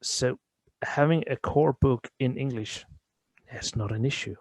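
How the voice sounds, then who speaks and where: A middle-aged Danish man talks casually in English, his Danish accent plain as he stops, repeats a word and starts again. His voice sits at an ordinary pitch for a man. The recording is close and dry, with no room sound. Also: darkish tone, dry recording. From Denmark. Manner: halting, repeats words while searching for English phrasing. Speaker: interview guest. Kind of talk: casual conversation.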